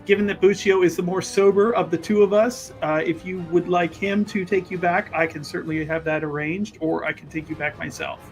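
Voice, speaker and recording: A man playing a courteous, easygoing gentleman adventurer and writer in a tabletop game, talking reassuringly. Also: high voice, fairly even intonation, fairly quick, few pauses, soft background music.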